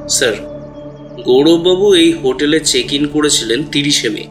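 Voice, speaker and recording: A man's voice: a little high, a little slow, music in the background, close to an everyday voice. A man playing a deferential hotel employee who answers questions politely and explains carefully in an audio drama. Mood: deferential, explaining. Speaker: voice actor in an audio detective drama. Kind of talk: acted dialogue with background music.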